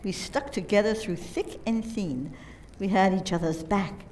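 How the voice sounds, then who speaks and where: Lady playing proud businesswoman in a radio mystery, medium pitch, pointed, bit of noise. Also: unhurried, darkish tone, lightly acted, rough recording. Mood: proud, accusing. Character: cool, proud businesswoman murder suspect. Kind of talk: community theater radio play acting.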